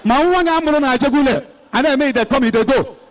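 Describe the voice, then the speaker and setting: A man's voice: very high voice, quick pace. A Nigerian man in his thirties, an evangelist exhorting an outdoor crowd and switching between Igbo and English into a handheld mic. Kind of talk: preaching at an outdoor church rally. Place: outdoor stage before a large crowd. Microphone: handheld microphone.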